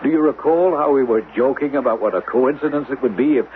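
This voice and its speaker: An actor playing a determined, reassuring husband and doctor in a 1970s radio drama, coaxing urgently and moving between hushed and emphatic speech. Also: male voice, medium pitch, fast talker, natural voice. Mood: scheming, hopeful. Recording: narrowband, faintly noisy 1970s radio recording.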